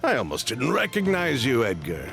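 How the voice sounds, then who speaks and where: A man's voice: medium pitch, huge pitch swings, moderate pace, vocal fry. A man playing a sly, affable perfume merchant with a theatrical manner in a video game. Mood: jovial, sly. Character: smooth-talking, sly perfume merchant, the elder brother. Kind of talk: video game character acting.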